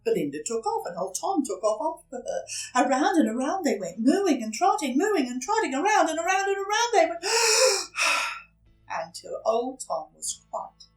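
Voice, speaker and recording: A woman's voice: high-pitched, big pitch swings, recorded from a distance, soft background music, poor audio. A woman reading a children's story aloud expressively, dramatically stressing and drawing out repeated words. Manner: dramatic, with emphatic repetition and drawn-out words.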